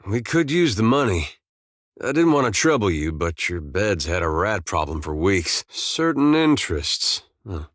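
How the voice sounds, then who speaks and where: A man playing an easygoing, wry farmer turned adventurer in a video game, with a laid-back, deadpan, folksy way of talking. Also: on the low side, dry recording, studio quality. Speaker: video game companion character. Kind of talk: game dialogue and banter.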